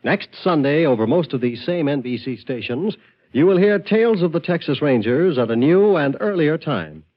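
A man's voice: on the high side, very animated, moderate pace. A man reading a scripted network announcement in the style of 1950s radio. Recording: muffled 1950s radio recording.

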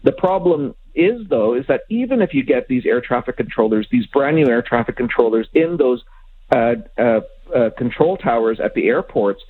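A man giving expert commentary as an interview guest, explaining in a measured, halting way. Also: medium pitch, expressive, husky. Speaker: aviation consultant, interview guest. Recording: thin phone-like line.

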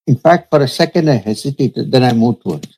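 A man, a spiritual teacher, telling a story from his past in a slow, measured, reflective way during an interview. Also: mid-range voice, lively intonation, darkish tone, slightly rough. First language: Urdu.

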